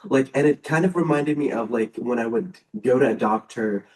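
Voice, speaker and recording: A native Arabic speaker in the audience asking a casual question, full of fillers. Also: male voice, medium pitch, normal speed. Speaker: audience member. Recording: roomy hall, distant mic.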